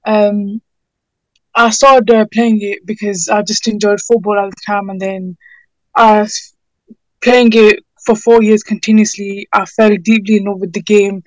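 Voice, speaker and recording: A woman's voice: medium pitch, little pitch movement, unhurried, no echo, poor audio. A sixteen-year-old young woman with a British accent, giving informal, earnest and somewhat hesitant answers in an interview. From United Kingdom. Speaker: interviewee, student campaigner.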